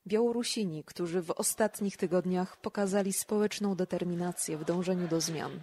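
Woman doing scripted podcast narration, voice a bit on the low side. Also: normal speed, warm tone, slight background noise. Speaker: podcast host.

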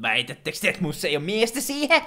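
A man voicing a cocky, reckless young honey badger in an amateur comedic cartoon dub, boastful and excitable. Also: high-pitched, heavily acted. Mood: playful, boastful.

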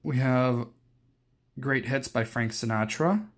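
A man's voice: mid-range voice, slow. A young adult man giving a casual, unscripted show-and-tell, rambling hesitantly and often correcting himself. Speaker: collector presenting his cassette collection.

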